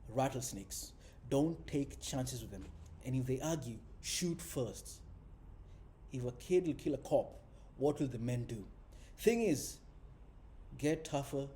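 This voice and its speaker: A man's voice: mid-range voice, expressive, slow, long pauses, warm tone. A man voicing a hostile, contemptuous rural lawman in a storybook reading, blunt and folksy. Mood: menacing. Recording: faint background noise.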